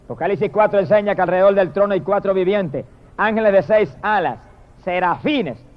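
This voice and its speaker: Male evangelical preacher, high register, fervent declamatory delivery with drawn-out praise, on a dull low-fidelity recording. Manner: emphatic and declamatory, drawing out exalted phrases, addressing listeners directly.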